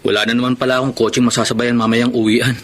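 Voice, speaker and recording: An actor playing a good-natured, cautious friend in a radio drama, casual and mildly skeptical. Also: male voice, medium pitch, level tone, fast talker, a bit bright, slight background noise, natural voice. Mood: easygoing. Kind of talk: Filipino radio drama acting.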